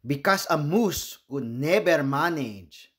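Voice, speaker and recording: A man from the Philippines hosting a read-aloud for children, telling the story in a deliberate, expressive manner. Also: fairly high pitch. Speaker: host reading children's books aloud.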